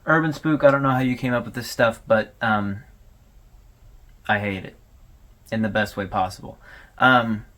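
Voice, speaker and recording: A man's voice: fairly low pitch, fairly even intonation, normal speed, pauses often, sharp tone. A man hosting a video analysis, giving casual, conversational commentary with hesitations and informal asides. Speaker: host of a horror series analysis video.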